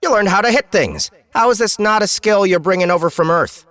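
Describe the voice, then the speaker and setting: A man's voice: sits high, fairly quick, a bit bright, no room sound, pitched above the performer's own voice. A man voicing a sarcastic, mock-enthusiastic game system that announces notifications in a fantasy audiobook.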